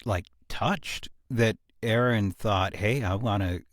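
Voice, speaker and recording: Man, lowish voice, earnest and reflective, halting phrasing, casual podcast discussion, close dry sound. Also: dry recording. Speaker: podcast host and series creator. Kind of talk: casual behind-the-scenes discussion.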